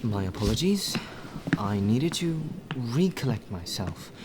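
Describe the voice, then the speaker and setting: A man acting as a polite, deferential male butler in a soft, formal ASMR audio roleplay. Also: mid-range voice, big pitch swings, background noise. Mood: obliging. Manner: formal, deferential, measured, sighing.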